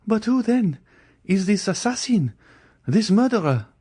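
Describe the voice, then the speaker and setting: A man's voice: sing-song, unhurried, dark tone, breathy edge, exaggerated voice. A man voicing a frightened young noblewoman. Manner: high, breathless pleading.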